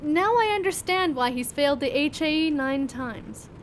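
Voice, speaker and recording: A high-voiced, hesitant doctor. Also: female voice, lively intonation, normal speed. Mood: hesitant, pleading. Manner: hesitant, pleading. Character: hesitant, hopeful woman doctor with a secret. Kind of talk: audio drama acting. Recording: faint low rumble behind.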